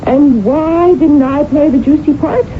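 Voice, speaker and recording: An actress playing a wry, playful, envious wife in an old-time radio drama, with a theatrical delivery. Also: female voice, high-pitched, a little slow. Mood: mock-dramatic. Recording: thin, phone-like old radio recording with hiss.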